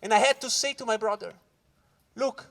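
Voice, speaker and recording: A Swiss man from Ticino, an English speaker, doing a loud, animated stand-up set at a corporate event and talking straight to the crowd. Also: sits high, normal speed, gravelly. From Switzerland (Ticino). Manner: loud, animated crowd work, keeps saying "guys". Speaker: stand-up comedian. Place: corporate event hall with live audience.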